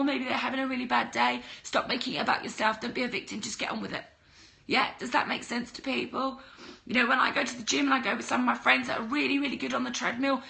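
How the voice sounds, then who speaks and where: Woman, croaky from a cold, fairly high voice, chatty livestream coach, blunt tough love. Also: bright, forceful tone. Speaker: livestream host giving mindset coaching. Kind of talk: casual livestream talk to viewers.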